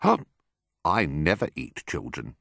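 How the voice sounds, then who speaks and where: A man's voice: very deep voice, huge pitch swings, a little slow, vocal fry, bright, forceful tone, dry recording, clean studio sound, full character voice. A man voicing a smaller crocodile in a children's storybook, prim, scoffing and indignant. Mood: prim refusal.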